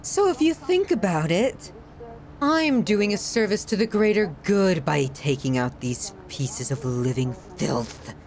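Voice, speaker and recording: A woman's voice: lowish voice, lively intonation, unhurried, a little mellow. A woman playing a sadistic, possessive yandere in an ASMR horror roleplay, theatrical and sighing, shifting between sweetness and scorn. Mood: scornful, self-justifying.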